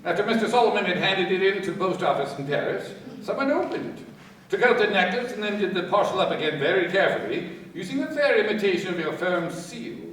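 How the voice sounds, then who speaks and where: A man playing a methodical, cautious police inspector in a radio play, deliberate and given to thoughtful hums and pauses. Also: high voice, lively intonation, a bit bright. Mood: analytical, brisk. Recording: very echoey, distant, with background noise.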